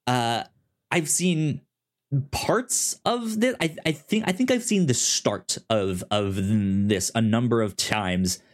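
A man co-hosting a movie podcast, talking casually with a halting, stammering delivery that often repeats words. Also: medium pitch, expressive. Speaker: podcast co-host. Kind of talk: casual chat pitching films on a movie podcast.

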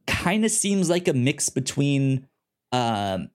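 A man co-hosting a casual review podcast, talking informally and haltingly, often stuttering and repeating syllables. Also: medium pitch, big pitch swings. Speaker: podcast co-host. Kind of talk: casual review show chat.

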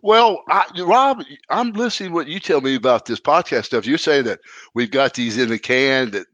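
A stuffed-up, congested man talks in a loose, rambling way, restarting his sentences and poking fun at himself. His voice sits in an ordinary middle pitch. The sound is close and dry, with no room around it. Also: lively intonation, moderate pace, fairly bright tone, no room sound, highs cut off. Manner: rambling, self-mocking, frequent restarts. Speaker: co-host. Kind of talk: casual podcast chat.

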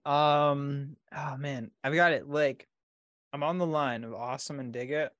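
A man's voice: a little high, fairly even intonation, takes it slowly, a bit bright, dry recording. A man co-hosting a casual podcast, easygoing and teasing, laughing often and hedging with fillers.

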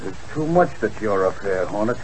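A man's voice: on the low side, lively intonation, fairly quick, close to an everyday voice, poor audio. A man playing a boastful, streetwise gangster henchman in a 1940s radio play, talking in slangy tough-guy fashion over a hissy old broadcast. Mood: defiant.

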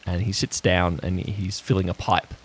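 A man acting as game master, improvising descriptive scenes in a halting way, addressed to the players. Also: deep voice, little pitch movement, slight background noise.

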